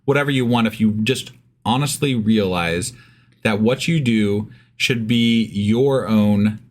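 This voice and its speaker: A man's voice: lowish voice, measured pace. A man co-hosting a podcast, chatting casually about scripture in a blunt, profane way. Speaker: podcast co-host. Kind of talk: casual Bible discussion.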